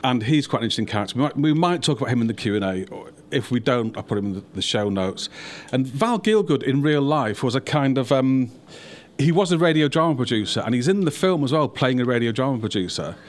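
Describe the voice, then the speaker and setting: A man's voice: medium pitch, expressive, fast talker. A man hosting a podcast and film event with wry, self-deprecating humour. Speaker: podcast host.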